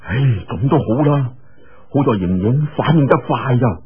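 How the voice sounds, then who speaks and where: A man's voice: medium pitch, animated, normal speed, slightly rough, narrow, tinny audio, voice pushed down. A man with a Cantonese accent voicing a carefree, teasing young swordsman hero in a martial-arts audiobook, bantering colloquially. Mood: relieved.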